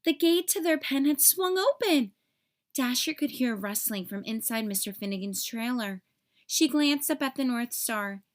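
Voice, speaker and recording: A woman with a fairly high voice narrates a storybook for young children. She reads expressively, in the manner of a read-aloud storyteller. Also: lively intonation, normal speed.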